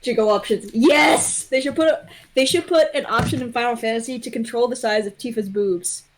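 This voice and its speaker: A woman's voice: fairly high pitch, fairly quick, noisy background. A young adult woman chatting casually as a livestream guest, blunt and freely swearing. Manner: blunt, profane. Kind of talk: casual chat.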